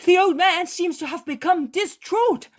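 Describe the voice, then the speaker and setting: A woman voicing an excitable male fisherman who talks out of breath and with urgency. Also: extremely high pitch, moderate pace, pitched above the performer's own voice. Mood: urgent. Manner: urgent, agitated.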